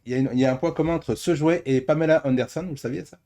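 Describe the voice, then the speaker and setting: A man's voice: fairly high pitch, very fast, mellow. A man co-hosting a pop-culture news show in casual, jokey group chat.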